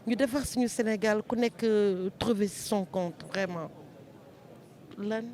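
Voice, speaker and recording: A woman with a Senegalese French accent speaks in a sorrowful, reflective way, at a mid pitch for a woman. She is interviewed outdoors. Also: a little slow, darkish tone. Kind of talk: street interview.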